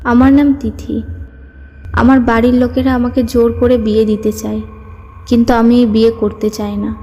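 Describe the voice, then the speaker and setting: A woman's voice: on the high side, level tone, moderate pace, slightly rough. A woman playing a sorrowful young village girl who is secretly a demon in a horror story, mournful and eerie, with heavy reverb. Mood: sorrowful, despairing. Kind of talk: dramatic horror story acting. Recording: long reverb with soft music.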